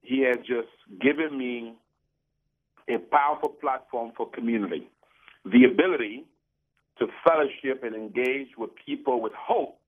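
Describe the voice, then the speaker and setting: A man from Cameroon, a guest on a radio show, talking earnestly and preachily about his faith and often repeating words as he starts a thought. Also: medium pitch. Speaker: guest, business program founder. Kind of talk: radio interview talk. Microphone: phone. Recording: tinny phone line.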